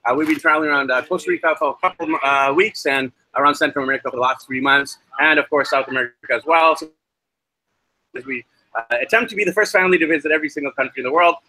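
A man hosting a travel podcast over a video call from a hostel, chatty and filling pauses with "uh". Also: fairly high pitch, pushed and bright, no echo, low-quality recording. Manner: chatty, many uh fillers. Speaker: podcast host. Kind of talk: podcast interview. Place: hostel with weak Wi-Fi.

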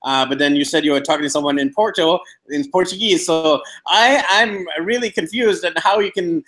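A man with a high-pitched voice hosts a casual interview over a video call. He talks in a chatty way, full of fillers and restarts. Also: moderate pace, a bit bright, rough recording.

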